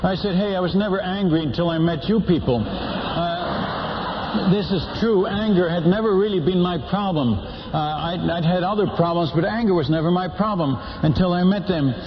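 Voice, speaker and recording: A man's voice: high-pitched, normal speed, noisy background. An older man from the Netherlands, a missionary, telling his stories to a church audience in a folksy, humorous way, full of restarts and fillers. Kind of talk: storytelling talk to a church audience. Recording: muffled hall recording with long reverb.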